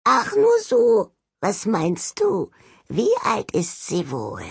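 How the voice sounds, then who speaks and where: A woman's voice: medium pitch, huge pitch swings, slow pace, gravelly, slightly rough, dry recording, clean studio sound, pitched above the performer's own voice, full character voice. A woman voicing a mocking old male ghost skull in a jar, sardonic and mock-polite, in an audiobook. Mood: sly, mocking.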